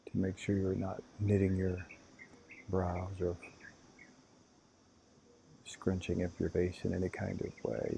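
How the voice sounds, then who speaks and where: A man leading a guided meditation as a teacher, calm and unhurried, giving gentle instructions. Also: low voice, vocal fry, noisy background.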